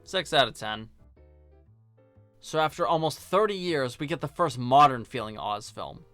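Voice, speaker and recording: Bright, dry-sounding wry man narrating. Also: mid-range voice, animated, moderate pace, dry recording, faint music behind. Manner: wry, sarcastic, colloquial. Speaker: video host and film reviewer. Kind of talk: scripted video essay narration, casual and joking.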